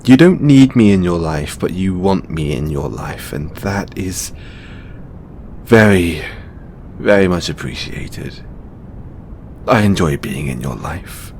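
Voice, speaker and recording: Low-voiced, expressive god boyfriend, acted by a man. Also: slow pace, pauses often, a little hiss or hum, full character voice. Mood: tender, affectionate. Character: affectionate, stressed male god, a devoted boyfriend. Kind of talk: intimate audio roleplay acting.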